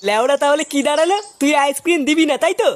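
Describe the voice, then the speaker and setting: A man's voice: very high voice, racing. A man voicing a brash, pushy local loafer who argues and bullies, in a comedy cartoon. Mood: belligerent. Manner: pushy, swearing, argumentative. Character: brash, foul-mouthed local loafer demanding things, bullying.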